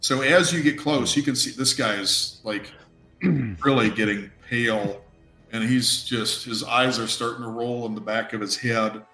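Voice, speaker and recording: A man running a tabletop game, improvising narration haltingly, thinking aloud and swearing casually. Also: medium pitch, sharp tone. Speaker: game master. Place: indoor room on a live stream. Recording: roomy echo with music underneath.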